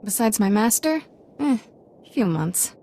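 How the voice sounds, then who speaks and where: A woman's voice: mid-range voice, big pitch swings, measured pace, mellow. An actress playing an irreverent young female Jedi apprentice in a video game, with a casual, flippant way of talking. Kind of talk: video game cutscene acting.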